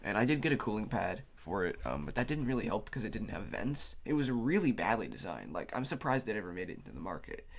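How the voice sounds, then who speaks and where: A man's voice: on the low side, big pitch swings, fast talker, gravelly, hardly any pauses. A man with a cold, sounding tired, gives a casual unscripted explainer as a video host, rambling loosely as he goes. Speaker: YouTube host.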